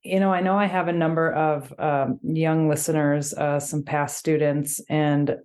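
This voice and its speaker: A woman's voice: deep voice, steady tone, unhurried, a little mellow. A woman hosting a podcast, asking questions in an encouraging, conversational way. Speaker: podcast host. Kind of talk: casual interview questions.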